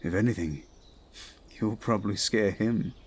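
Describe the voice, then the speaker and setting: A man playing a devoted, protective young prince in an intimate audio roleplay, speaking gently and somewhat formally to his betrothed. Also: on the low side, husky. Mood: tender, reassuring. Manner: gentle, soothing, measured, slightly formal phrasing.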